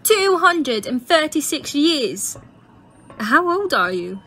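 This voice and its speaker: A woman's voice: high-pitched, very animated, takes it slowly, sharp tone, soft background music, exaggerated voice. A young woman playing a bold, curious, sceptical university student in a fan audio drama. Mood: urgent.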